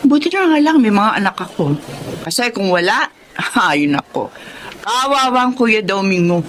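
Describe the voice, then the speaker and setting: A woman acting a blunt, sharp-tongued Filipino wife and mother, fed up, in a radio drama. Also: mid-range voice, lively intonation, measured pace, slight background noise. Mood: frank, wry. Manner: scolding, blunt.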